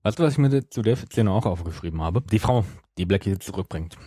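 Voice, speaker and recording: A man's voice: fairly low pitch, lively intonation, brisk, dark tone, breathy edge, no echo, studio quality. A man hosting a podcast, chatting casually in an argumentative, ironic way. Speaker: podcast host. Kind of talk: casual chat.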